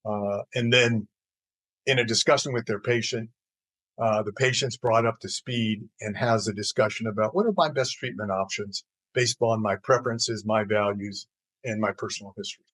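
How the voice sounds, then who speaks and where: An older American man giving measured, explanatory answers as an interview guest, pausing as he forms his thoughts. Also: fairly low pitch, a bit bright, no echo, rough recording. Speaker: interview guest, healthcare executive.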